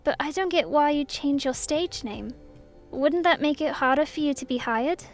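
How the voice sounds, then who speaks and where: A woman playing a curious, candid young princess in an audio drama, with a soft, innocent manner. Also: high-pitched, moderate pace, faint music behind. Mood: admiring, curious.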